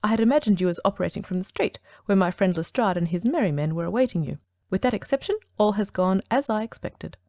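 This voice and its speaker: A woman voicing a brilliant male detective who speaks in a brisk, precise, ironic way, in an audiobook reading. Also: mid-range voice, lively intonation, dark tone, no echo, clean studio sound, close to an everyday voice.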